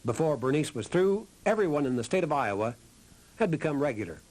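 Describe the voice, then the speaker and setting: A man's voice: fairly high pitch, very animated, a little fast, darkish tone. A man reading a scripted historical documentary narration. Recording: narration over background music. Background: music.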